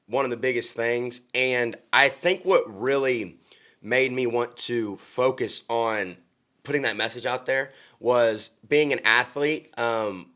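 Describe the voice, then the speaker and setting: A man's voice: medium pitch, slow, bright, forceful tone. A young man from Oklahoma, a country singer, talking earnestly in a casual interview with frequent ums and restarts. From Oklahoma, USA.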